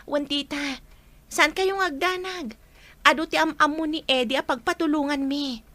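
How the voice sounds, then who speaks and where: A woman's voice: high voice, steady tone, normal speed, sharp tone, no room sound. A woman playing an earnest, helpful young stepsister in a radio drama. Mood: reassuring, earnest. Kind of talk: Ilocano radio drama acting.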